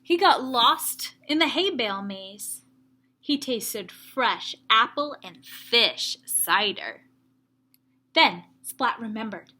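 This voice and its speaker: A woman teacher reading a story aloud to young children, with expressive emphasis and explanatory asides. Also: on the high side, takes it slowly.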